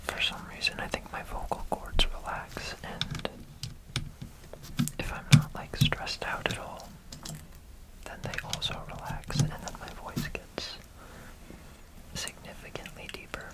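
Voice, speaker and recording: A man's voice: measured pace, pauses often, poor audio. A man whispering casual, rambling ASMR chatter with many fillers while building bricks, his voice slightly nasal. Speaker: ASMR creator.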